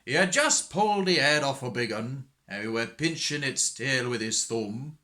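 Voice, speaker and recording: Man putting on a droll, animated storyteller. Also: medium pitch, normal speed. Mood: droll, singsong. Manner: singsong, theatrical, tongue-in-cheek. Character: droll working-class English storyteller reciting comic verse. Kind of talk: rhymed comic monologue recitation.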